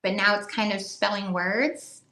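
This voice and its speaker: Female podcast co-host, mid-pitched, chatty and open-minded, casual storyteller, roomy echoing sound. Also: steady tone, unhurried, sharp tone. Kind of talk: casual storytelling chat about the paranormal. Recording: echoey room.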